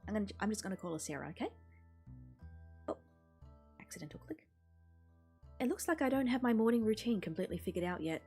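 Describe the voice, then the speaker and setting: A woman's voice: mid-range voice, expressive, fast talker, long pauses, darkish tone. A woman streaming a visual novel, reading the game text aloud expressively and adding casual, offhand comments. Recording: music playing behind the voice. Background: game music.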